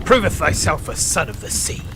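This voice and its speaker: An actor playing a haughty, suspicious innkeeper in a radio play, declaiming scornfully in archaic English over a noisy background. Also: male voice, a little high, big pitch swings, normal speed, bright, forceful tone. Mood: accusing. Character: suspicious, haughty innkeeper, a hostile zealous father. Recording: noisy background with ambient sound effects.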